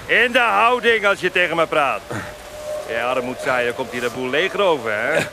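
An actor playing a drunken, bullying infantry sergeant who barks orders in a radio drama. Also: male voice, on the high side, animated, normal speed, music in the background. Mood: drunken, menacing. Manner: barking, domineering.

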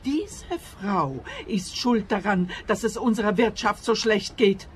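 A woman's voice: medium pitch, a little slow, lightly acted. An actress playing an indignant, suspicious older lady who scolds self-righteously in a radio play. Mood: scolding, suspicious. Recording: clear noise and ambience behind.

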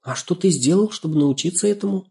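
Storybook girl, acted by a man: young, curious, easily scared, pitched high, tender and exclaiming. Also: quick pace, gravelly, mellow. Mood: glad, curious. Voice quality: ringing, tender. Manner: exclaiming, full of questions.

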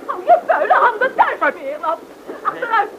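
Respectable married lady, easily outraged; very high, swooping voice, vintage radio drama. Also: very animated, normal speed, highs cut off. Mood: outraged, scolding. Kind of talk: old radio play acting. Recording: noisy old radio drama recording.

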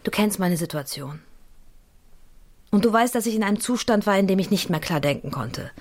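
A woman's voice: mid-range voice, lively intonation, a little fast, lightly acted. An actress playing a volatile, wounded woman, needy and accusing, in an emotional radio drama. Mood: pleading.

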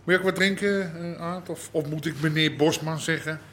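Radio drama underworld boss played by a man: stubborn, jovial Amsterdammer, slightly high pitch, light background noise. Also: fairly quick, fairly bright tone, natural voice. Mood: bluff, defiant. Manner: folksy, bluff. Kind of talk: naturalistic radio drama acting.

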